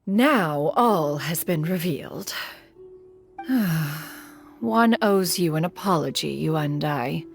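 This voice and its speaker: A woman voicing an aloof, formal female crane immortal who is master to disciples. She speaks in a measured, archaic way and calls herself "one". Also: low-pitched, vocal fry, faint music behind, heavily acted.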